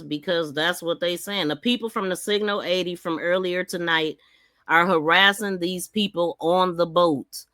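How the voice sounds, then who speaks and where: A woman's voice: on the low side, sharp tone, no room sound. A woman giving casual live commentary as a podcast host, talking in a folksy, emphatic, conversational way.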